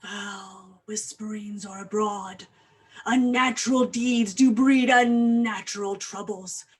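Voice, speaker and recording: A woman playing a troubled, watchful doctor in a grave, dramatic Shakespearean reading, husky and at times hushed. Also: a little high. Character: troubled, watchful doctor observing a sleepwalking patient. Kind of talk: Shakespearean acting in an online staged reading.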